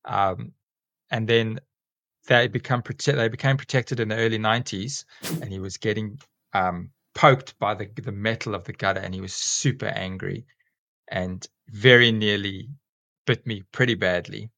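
A South African man of about forty chats as a podcast guest, rambling a little with plenty of ums. His voice sits low and has a gravelly, fry-edged texture. The sound is close and dry, with no room echo. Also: steady tone, moderate pace, sharp tone, muffled recording. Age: 40s. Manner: rambling, many ums and restarts. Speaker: guest, school teacher and snake catcher. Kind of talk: casual podcast interview.

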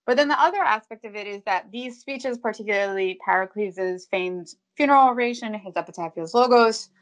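Woman, translator, measured academic answers, thoughtful pauses, restarts. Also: medium pitch. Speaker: translator of ancient Greek.

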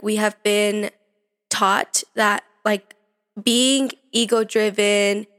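A young woman hosting a casual self-help podcast, her voice slightly raspy from allergies, chatting with lots of filler words. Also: mid-range voice. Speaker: podcast host.

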